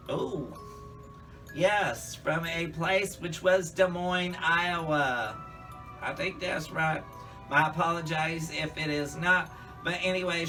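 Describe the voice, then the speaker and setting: A man's voice: a little high, distant mic, low-quality recording. A man in a casual, campy monologue, chatting to viewers as a drag queen reviewer.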